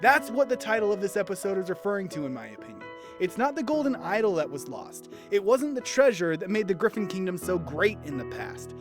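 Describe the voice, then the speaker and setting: A man giving an enthusiastic, animated scripted review as a cartoon episode commentator, with instrumental music behind him. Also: high-pitched, brisk, few pauses. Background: instrumental background music.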